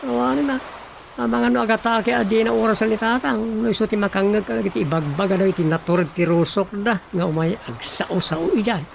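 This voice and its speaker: A man playing a defensive, long-suffering village councilor in a radio drama, with a creaky voice and an Ilocano accent. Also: high-pitched, a little fast, a little hiss or hum, poor audio. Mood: fed up. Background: loud music.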